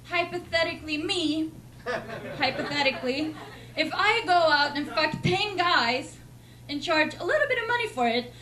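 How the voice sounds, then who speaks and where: A young woman from Sweden with a Swedish accent, doing a deadpan, self-mocking stand-up set in a comedy club. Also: high-pitched, normal speed, a loud crowd. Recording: noisy club recording.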